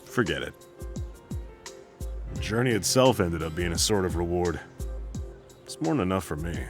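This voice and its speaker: A man's voice: low-pitched, expressive, moderate pace, faint music behind. A man playing a laid-back, confident, good-natured bounty hunter in a video game cutscene, talking casually. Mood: relaxed, playful. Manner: nonchalant, casual.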